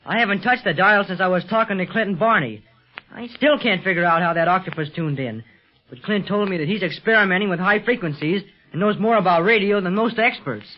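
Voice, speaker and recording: A man's voice: high voice, brisk. A male actor playing a plucky, earnest boy radio operator in a 1930s adventure serial. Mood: worried. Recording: muffled 1930s radio recording, faint music.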